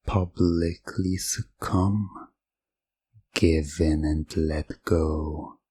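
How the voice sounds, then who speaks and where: Casting: male hypnotist, low and mellow, husky tone, soft commanding repetitions, very slow, close dry mic. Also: dry recording. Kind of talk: hypnosis ASMR roleplay.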